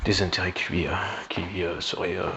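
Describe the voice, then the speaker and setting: A man giving a rambling, informal political monologue in a halting, sardonic way, with slang and swearing. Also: deep voice, level tone, dull audio. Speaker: online political commentator. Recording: clearly noisy background.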